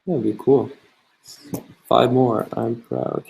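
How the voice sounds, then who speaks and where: A young man, a travel vlogger, chatting casually and answering viewer questions in a relaxed, rambling way. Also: medium pitch, takes it slowly, creaky, slight background noise, poor audio. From Canada. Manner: relaxed and chatty, many fillers, reads questions aloud.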